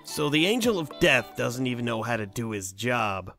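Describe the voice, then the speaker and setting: A man's voice: medium pitch, sing-song, moderate pace, sharp tone, soft background music. A man voicing a teasing, laid-back young male pony in an audio story. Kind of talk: audio story character dialogue.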